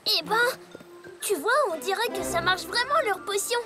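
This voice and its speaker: A woman's voice: very high-pitched, moderate pace. A woman voicing a young, wide-eyed, inquisitive farm pony filly in a French-dubbed children's cartoon. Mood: curious. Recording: loud music under the voice.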